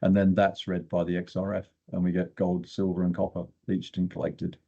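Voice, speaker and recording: A man's voice: low-pitched, monotone, brisk, warm tone, breathy edge, muffled recording. An older man speaking as a guest expert, explaining technical material in a plain-spoken way with short emphatic phrases. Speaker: guest expert in exploration geochemistry.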